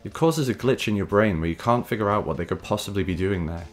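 A man hosting a video essay, reading scripted narration in a measured, wry, conversational way. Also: fairly low pitch. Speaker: host and narrator of a video series. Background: background music.

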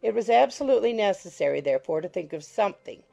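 Female audiobook narrator, mellow and creaky, mid-pitched, measured formal read. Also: lively intonation, faint music behind.

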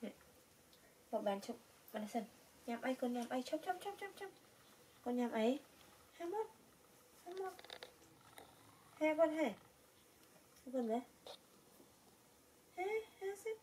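A young woman with a fairly high voice chats casually and coaxingly to her pets. She repeats short words over and over. She is recorded some way from the mic in a small room, with some noise behind her. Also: animated, a little slow, pauses often, mellow. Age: young adult. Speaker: pet monkey caretaker. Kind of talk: casual coaxing talk to pet monkeys. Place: small indoor room, on a bed. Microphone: camera mic a little way off. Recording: distant mic with clear background noise.

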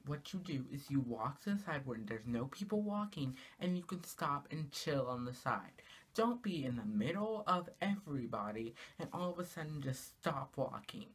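A teenage girl vlogging from her small bedroom, talking casually to the camera and ranting in an exasperated way, her pitch jumping up on rhetorical questions. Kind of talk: casual comedic rant to camera. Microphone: camera in front of her, at arm's length.

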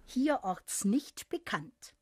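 A woman's voice: a little high, very animated, slow pace, heavy background noise. An actress playing a scornful woman inmate, a trained singer, who answers curtly in a radio play. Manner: scornful, curt. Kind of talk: 1970s radio play acting.

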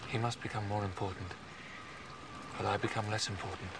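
An actor playing a steadfast, humble desert prophet in a biblical film drama, outdoors by water. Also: male voice, fairly low pitch, fairly even intonation, brisk, gravelly. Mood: humble, firm. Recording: distant dialogue with running water noise.

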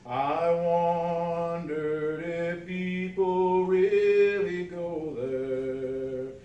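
A man's voice: little pitch movement, drawn-out words, dark tone, dull audio. An older man singing unaccompanied gospel hymns as a church singer. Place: small wood-paneled church room. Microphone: distant camera in the room. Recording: roomy, distant, noisy church recording with rumble.

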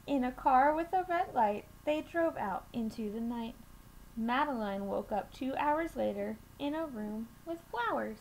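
A young woman reading a picture book aloud to children, with measured, deliberate phrasing and expressive emphasis, recorded on a webcam mic in a small room. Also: fairly high pitch. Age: young adult. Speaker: children's storytime reader at a school. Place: small room at home, indoors. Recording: noisy home webcam recording.

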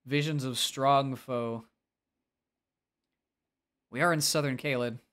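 A man's voice: mid-range voice, fairly even intonation, slow, a bit bright. A man with a nasal voice, talking casually and expressively as he livestreams a game and answers chat, often self-deprecating.

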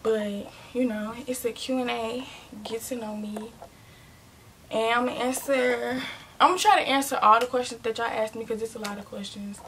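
Chatty nineteen-year-old woman with a gravelly edge. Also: a little high, normal speed, slight background noise. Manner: chatty, rambling, restarts and self-corrections, drops into quiet asides. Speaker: vlogger. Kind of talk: casual Q and A talking to viewers. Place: bedroom, indoors, small.

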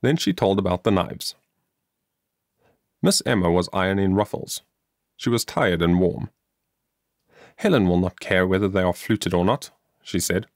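A man's voice: low voice, measured pace, long pauses, dry recording, clean studio sound. A man narrating a children's moral story as an audiobook, reading earnestly and expressively from a script.

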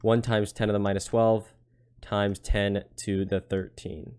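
Low-voiced man tutoring step by step. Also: flat delivery, unhurried, darkish tone, smooth. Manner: step-by-step explaining, often checking with "right?". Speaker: physics tutor. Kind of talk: casual worked-problem tutorial.

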